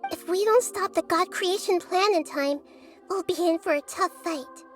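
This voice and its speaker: A woman voicing a gentle, childlike girl god in a video game, speaking softly in an earnest, measured, thoughtful way. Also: very high voice, soft background music. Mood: thoughtful, determined.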